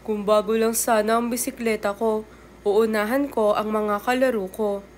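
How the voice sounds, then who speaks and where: A woman's voice: medium pitch, fairly even intonation, moderate pace, close to an everyday voice. A woman voicing a wistful, earnest young boy in a children's storybook, with a plaintive way of speaking. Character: wistful young boy longing for a newer bicycle. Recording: clear background noise.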